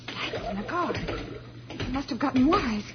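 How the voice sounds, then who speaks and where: An actress playing an anxious but brave companion of the hero in an old-time radio serial. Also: female voice, sits high, lively intonation, moderate pace. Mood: urgent. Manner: worried, urgent. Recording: muffled old radio recording, clear hiss.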